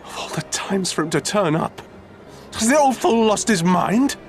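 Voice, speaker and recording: A worried villager in a video game, high voice, hushed and urgent, music in the back. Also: male voice, animated, moderate pace. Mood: exasperated. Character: uneasy male villager in a fantasy game.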